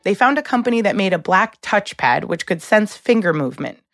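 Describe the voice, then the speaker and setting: A woman's voice: mid-range voice, expressive, fairly quick, fairly bright tone, dry recording, clean studio sound, soft background music. A woman hosting a documentary podcast, reading scripted narration in a polished storytelling format.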